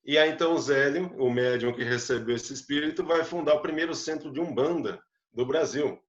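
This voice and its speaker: A man's voice: on the high side, a little fast, roomy sound, highs cut off. A man, a psychologist, giving an explanatory live talk to an online audience and putting rhetorical questions to them. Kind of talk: lecture-style live talk.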